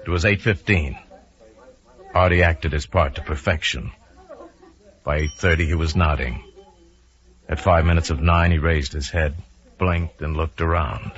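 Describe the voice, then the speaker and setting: A man's voice: low voice, a bit bright. A man narrating a suspense story in a dramatic, scripted radio style. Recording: muffled vintage radio recording with music. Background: music.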